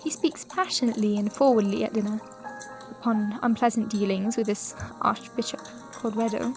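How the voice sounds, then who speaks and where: An actress plays a genteel young woman of a bygone century, curious and thoughtful. Her voice is fairly high as she narrates a period audio drama over soft music. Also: close to an everyday voice. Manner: formal, thoughtful, storytelling.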